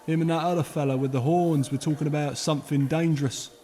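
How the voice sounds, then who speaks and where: A man's voice: fairly high pitch, steady tone, fast talker, dark tone, voice pushed up, natural voice. A man playing a stocky little bartender in an audiobook, talking in a blunt, offhand, chatty way. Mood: casual, informative. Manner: offhand, colloquial. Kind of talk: audiobook character voice.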